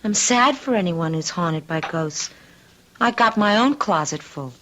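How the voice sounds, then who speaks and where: An actress playing a defiant, streetwise but soft-hearted teenage girl in old-time radio drama, brash and slangy. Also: fairly low pitch, animated, unhurried, a little hiss or hum. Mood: curious, tender.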